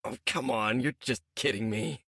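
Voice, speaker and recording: An actor playing an eager, wisecracking teenage superhero in a game, boyish and jokey. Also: male voice, mid-range voice, moderate pace, a bit bright, breathy edge, dry recording, studio quality. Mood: playful.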